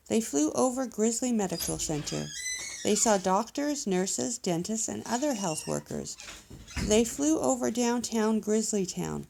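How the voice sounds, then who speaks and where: A woman narrating a children's storybook in an expressive read-aloud style. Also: mid-range voice, creaky. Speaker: storybook reader.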